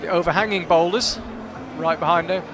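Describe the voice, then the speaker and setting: A man's voice: sits high, fairly even intonation, a little fast, background noise. A man doing live commentary on a climbing competition, chatty and analytical, thinking aloud as the action unfolds in a big hall. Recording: loud crowd in a big hall.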